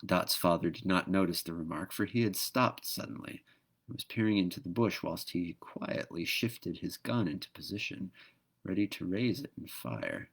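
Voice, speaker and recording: Low-voiced man reading a storybook. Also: lively intonation, normal speed, creaky, husky. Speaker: storybook reader. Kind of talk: expressive read-aloud narration of a children's book.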